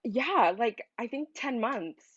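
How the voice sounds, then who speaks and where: A woman's voice: a little high, animated, slow, fairly bright tone, husky, no room sound. A seventeen-year-old young woman from Hawaii with an American accent, talking casually and earnestly as a student. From Hawaii, United States. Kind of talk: casual self-introduction. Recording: dull, dry call audio.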